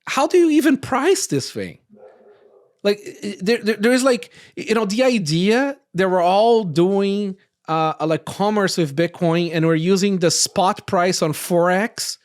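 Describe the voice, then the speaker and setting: A man from Brazil hosting a casual roundtable podcast, rambling and often tagging his points with quick questions. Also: high-pitched. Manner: rambling, frequent tag questions. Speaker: podcast host.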